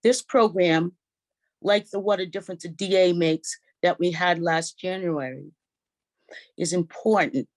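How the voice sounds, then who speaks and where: A woman moderating a public forum, reading prepared opening remarks in a measured way with small slips, over a video call. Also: lowish voice. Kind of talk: formal scripted welcome.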